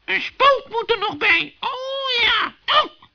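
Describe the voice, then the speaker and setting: An excitable little seahorse creature for a children's story. The voice is extremely high and piercingly bright, muttering slowly and full of boasts that easily turn to fright. The old recording is dull. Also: male voice, creaky, full character voice. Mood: musing. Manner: drawn-out muttering with giggles and stammers. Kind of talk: children's audio story character voice.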